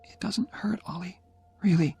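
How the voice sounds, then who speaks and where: A teasing, tough young woman on her deathbed speaks in a raised, breathy voice, bossing and mocking her man fondly, as a male narrator puts her on. The voice sits far above his own, with a husky edge, and faint music plays under the storybook reading. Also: on the high side, moderate pace, pitched above the performer's own voice. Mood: gentle. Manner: mocking, bossy, tender underneath. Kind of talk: audiobook character reading.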